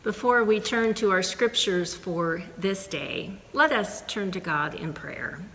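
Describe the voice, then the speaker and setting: A middle-aged woman pastor leading a prayer and reading scripture in a measured, deliberate way, on a lapel mic in a church sanctuary. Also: mid-range voice, animated, a bit bright. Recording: reverberant sanctuary, faint room noise.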